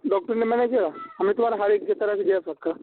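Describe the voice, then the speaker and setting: A man's voice: high-pitched, fast talker, crowd noise. A man arguing on a recorded phone call with his wife, defending himself and insisting that she hear him out. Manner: defensive, keeps asking to be heard. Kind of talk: heated phone argument. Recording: dry, tinny recorded phone line.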